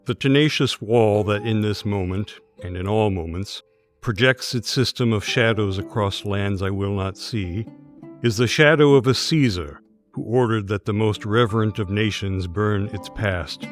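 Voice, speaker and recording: A man's voice: lowish voice, moderate pace, soft background music. A man giving a literary, spoken-word recitation as a performer in a studio piece.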